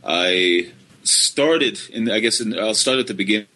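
A middle-aged man from Finland, a history professor telling his story in an interview, halting with many restarts. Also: fairly low pitch, lively intonation, pushed and bright, low-quality recording. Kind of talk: relaxed interview answers.

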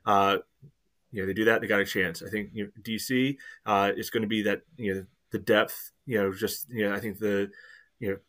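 A man giving his soccer views in a casual podcast chat, rambling and filling every pause with "you know". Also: lowish voice, steady tone, fairly bright tone.

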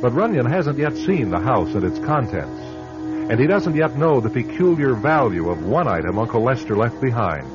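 A man narrating a scripted radio drama as its host, with measured, ominous storytelling. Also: mid-range voice, very animated. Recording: dull lo-fi radio recording. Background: loud music.

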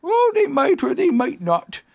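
Someone voicing an earnest, high-pitched little storybook bear. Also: huge pitch swings, gravelly, darkish tone, full character voice. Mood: musing. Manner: earnest, musing, halting. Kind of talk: children's storybook character voice.

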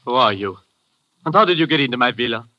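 A man's voice: mid-range voice, expressive, fast talker, bright, forceful tone. A man playing a stout, frightened rich man who stammers, in a 1940s radio drama, on a dull old radio recording. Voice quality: stammering, flustered.

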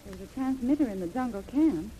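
An actress playing a bold, worldly adventuress in an old-time radio drama, forthright and wry. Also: female voice, on the high side, animated, fast, warm tone, close to an everyday voice. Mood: anxious, brisk. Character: bold, worldly female adventuress, flirtatious and loyal. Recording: faintly noisy vintage radio recording.

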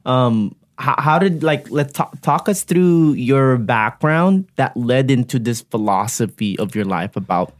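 A man's voice: medium pitch, lively intonation, measured pace, hardly any pauses. A man hosting a casual podcast interview, loose and chatty, with frequent restarts. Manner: casual, stammers and restarts, says "bro". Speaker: podcast host.

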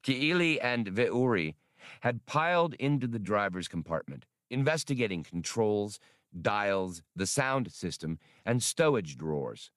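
A man's voice: on the low side, animated, a little slow, fairly bright tone, no echo, studio quality. A man reading adventure fiction as a scripted, dramatic audiobook narration. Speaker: audiobook reader.

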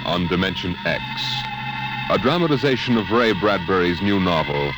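A man's voice: low-pitched, lively intonation, normal speed, over music, rough recording. A man announcing a promo in a grand, portentous 1950s radio style.

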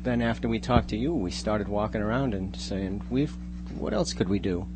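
A man, a guest writer, giving casual, somewhat halting and reflective interview answers. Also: lowish voice. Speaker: guest, amateur scriptwriter. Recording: noticeable hum and background noise.

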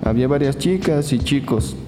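A man's voice: medium pitch, expressive, normal speed, close to an everyday voice. An obliging, chatty doorman played by a man in an audio crime drama. His voice rings in a reverberant hall with music underneath, gossipy and a little wary. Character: helpful, gossipy, slightly wary building doorman. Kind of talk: scripted audio drama dialogue.

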